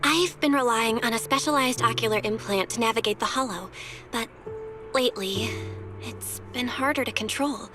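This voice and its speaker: A woman voice acting a composed, caring young woman in a video game, calm and measured, gently teasing yet determined. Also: on the high side, lightly acted. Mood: serious, determined. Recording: music behind the voice.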